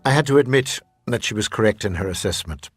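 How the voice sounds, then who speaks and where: A man with a husky voice narrating a ghost story in a measured, expressive, old-fashioned gentlemanly manner. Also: lowish voice, no room sound, soft background music. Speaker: reader of an audio ghost story. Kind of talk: dramatic first-person scripted narration.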